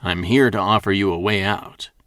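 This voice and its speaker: A man voicing a calm male investigator who asks measured, probing questions. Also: deep voice, no echo, studio quality.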